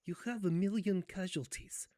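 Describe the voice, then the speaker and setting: A man's voice: sits high, normal speed, warm tone. Profane ranting man in a put-on accent. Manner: putting on an accent. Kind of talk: comedic roleplay banter.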